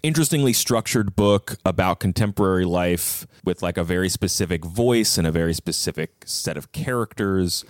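A man's voice: low voice, moderate pace, few pauses, no echo, studio quality. A man talking casually and thoughtfully as a podcast guest, explaining his points in a conversational way. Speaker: podcast host appearing as a guest. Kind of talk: casual conversational book discussion.

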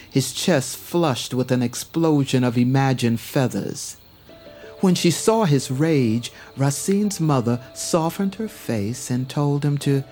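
A man narrating a scripted dramatic story in a measured, literary manner. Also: medium pitch, a little mellow.

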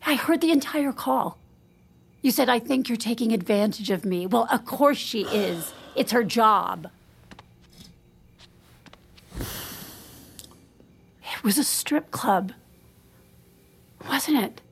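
A woman's voice: a little high, lively intonation, slow pace, vocal fry, pauses often, a little hiss or hum, heavily acted. A woman playing a guarded, frustrated wife in a naturalistic audio drama, clipped and blunt. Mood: exasperated. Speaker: actor in scripted audio drama.

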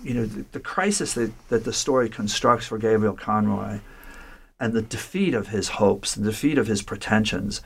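A man's voice: on the low side, normal speed, vocal fry, slightly rough. A man discussing a story in a thoughtful, lecture-like conversation, often restarting phrases and checking in with "right?". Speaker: literature scholar discussing a story.

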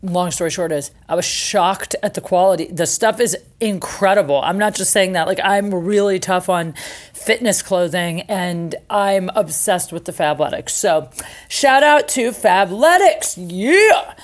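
A woman's voice: fairly low pitch, animated, measured pace. Chatty, self-mocking woman podcast host. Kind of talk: casual storytelling monologue with ad reads.

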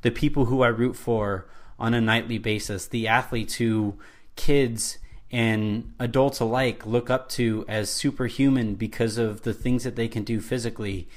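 An earnest man co-hosting a sports talk podcast, his voice sitting at an ordinary middle pitch. He lays out his view carefully, one point after another, often restarting his sentences as he thinks them through. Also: steady tone, normal speed. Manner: earnest, builds his argument step by step with restarts. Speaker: podcast co-host.

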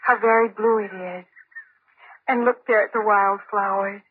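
A woman's voice: medium pitch, level tone, normal speed, slightly rough, dry recording, lightly acted. An actress playing a dreamy, stubborn seventeen-year-old girl in love in an old-time radio drama, with theatrical delivery. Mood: dreamy, tender. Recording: muffled old radio recording, narrow band.

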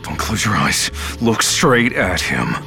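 Deep, intense captive narrator. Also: male voice, sing-song, slow, over music, full character voice. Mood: terrified, defiant. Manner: intense, clipped inner monologue. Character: frightened, defiant male captive narrating his ordeal inwardly. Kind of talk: dramatic first-person narration.

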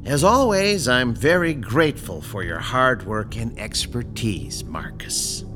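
An actor playing a calm, controlling male crime boss in an audio horror drama, polite and precise with quiet menace. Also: medium pitch, over music, close to an everyday voice. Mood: politely dry. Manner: polite, precise, coolly deliberate.

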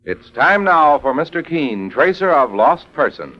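Male 1940s mystery announcer: emphatic, highly animated, dull old recording with music behind. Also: a little slow. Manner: theatrical, emphatic. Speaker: radio announcer and narrator. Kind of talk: 1940s radio announcing, dramatic scripted narration. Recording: dull vintage radio recording, loud music.

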